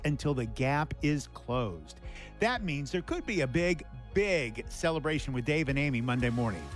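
American guy on the radio doing a donation appeal, voice a bit on the high side, soft music bed. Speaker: radio station general manager. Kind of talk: fundraising radio announcement.

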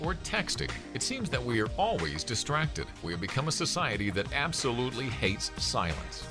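A man reading a scripted, earnest, instructive radio message as station announcer. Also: medium pitch.

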